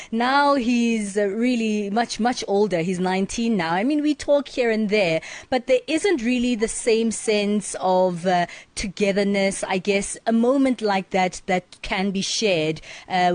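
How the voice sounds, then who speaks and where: A woman's voice: mid-range voice, darkish tone, dry recording. Middle-aged woman, dry studio sound. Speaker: radio co-host. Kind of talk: reflective conversational talk. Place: radio studio.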